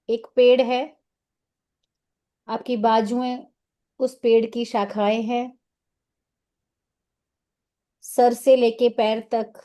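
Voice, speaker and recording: Female meditation guide, Indian accent, soft-edged mellow tone, very slow delivery in short soothing phrases. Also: a little high. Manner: soothing, unhurried, instructing in short phrases with pauses.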